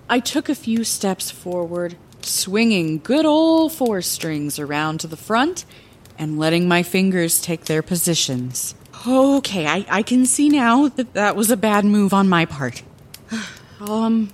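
An actress playing an older woman lighthouse keeper who reminisces in a playful, dramatic, conversational way, like bedtime fantasy storytelling in an audio drama. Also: medium pitch. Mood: sheepish. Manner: expressive, conversational, theatrical.

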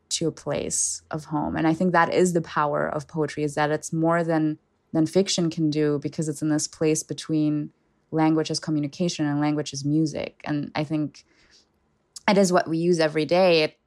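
A young woman poet who grew up in Germany, with German as her first language, speaking in a measured, thoughtful way and pausing to search for words. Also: low voice, dry recording, studio quality. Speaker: poet guest. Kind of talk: reflective conversation.

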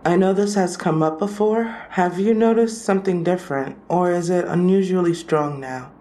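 An actress as a patient woman therapist in an audio drama. Her voice is soft-edged and mellow, set a little low, and she probes gently in a measured way. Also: lightly acted. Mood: gently probing.

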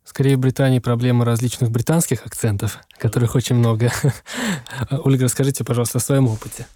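A man's voice: medium pitch, steady tone, fast, warm tone, no echo. A man asking formal, prepared interview questions as host.

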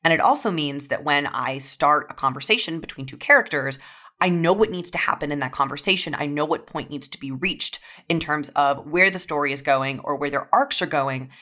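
A woman's voice: sits low, brisk, sharp tone. A woman speaking as a writer on a podcast panel, explaining her process casually in an organized, step-by-step way. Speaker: audio fiction writer, podcast guest.

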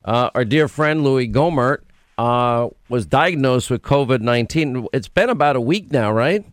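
A man's voice: medium pitch, animated, no echo, studio quality. Emphatic man hosting talk radio. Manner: emphatic, rapid-fire, opinionated. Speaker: radio talk show host.

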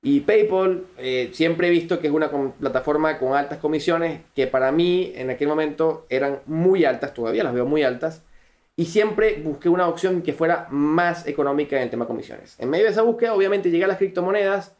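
A man's voice: on the high side, a little fast, darkish tone. A young man with a Venezuelan accent, a podcast guest telling his story earnestly and fluently, with frequent restarts. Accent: Venezuelan Spanish. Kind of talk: podcast interview.

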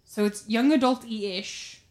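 Young woman, hoarse, chatting about books. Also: a little high, slow pace, darkish tone. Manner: chatty, lots of "um" and "like". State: losing her voice, wheezy. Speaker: podcast co-host. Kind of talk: casual chat.

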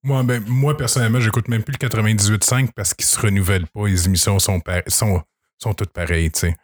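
A man with a Québécois accent hosting a podcast, talking in a relaxed, conversational way. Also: fairly low pitch, a little fast, no echo, clean studio sound. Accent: Québécois French. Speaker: podcast host. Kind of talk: casual podcast chat.

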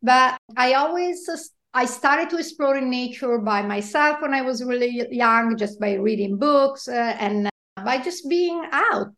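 Italian-accented woman in a roomy space. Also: a little high. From Italy. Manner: halting with many fillers, laughs softly. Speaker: guest, marine biologist. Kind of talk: interview conversation.

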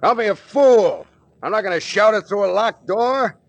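Gruff bossy ship captain, old time radio, slangy, husky voice a bit high. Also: male voice, lively intonation, moderate pace, dry recording. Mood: urgent bluffing. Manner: blunt, commanding, slangy. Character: tough, brusque male sea captain adventurer. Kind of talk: 1940s radio drama acting. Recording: dry vintage 1940s radio recording.

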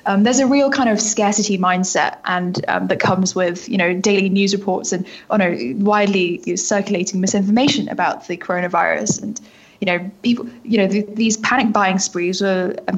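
Woman with a husky voice, casual interviewee. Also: medium pitch, lo-fi sound. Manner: informal, frequent "like" and "kind of". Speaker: newspaper reporter being interviewed. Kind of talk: conversational interview answers.

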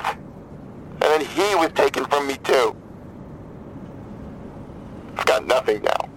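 A mid-pitched man is a despairing, grieving father on a crisis line in an audio drama. He talks haltingly, weary and bitter, with faint noise behind him. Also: fairly bright tone, rough recording. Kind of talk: naturalistic audio drama acting.

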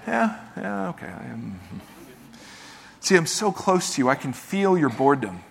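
A man's voice: fairly high pitch, very animated, moderate pace, vocal fry. A man preaching a sermon to a live congregation, reading scripture and talking in a loose, joking, conversational way. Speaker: pastor.

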